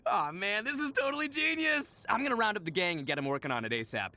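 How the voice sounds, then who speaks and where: An actor playing a brash, goofy young horned oni gang leader in a video game, boisterous, boastful and slangy, with theatrical swings. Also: male voice, high voice, big pitch swings, fast talker, bright, forceful tone, exaggerated voice. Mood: excited, playful. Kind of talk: video game character voice acting.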